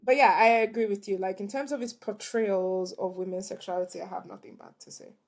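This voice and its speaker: A young woman co-hosting a film podcast, speaking in a measured, thoughtful way. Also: mid-range voice, steady tone. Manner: measured, thoughtful, weighs her words. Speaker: podcast co-host, film reviewer. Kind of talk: casual review chat.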